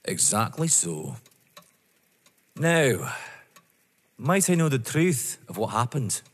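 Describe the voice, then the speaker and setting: A man acting in an audio drama as a politely persistent police inspector, formal and probing, with a husky voice. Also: mid-range voice, very animated, slow pace, full character voice. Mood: patient, probing. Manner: formal, deferential but probing. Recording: echoey hall-like reverb.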